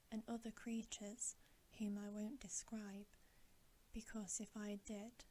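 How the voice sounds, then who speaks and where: A woman whispering a bedtime story in a hushed, gentle, deliberate ASMR reading. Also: mid-range voice, a little hiss or hum, low-quality recording. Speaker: ASMR reader.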